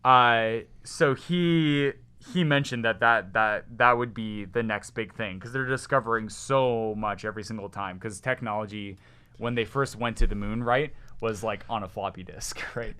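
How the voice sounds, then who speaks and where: A man with a loud, resonant voice, talking excitedly as a podcast guest, rambling with many restarts. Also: fairly low pitch, normal speed, clean tone. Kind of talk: casual chat.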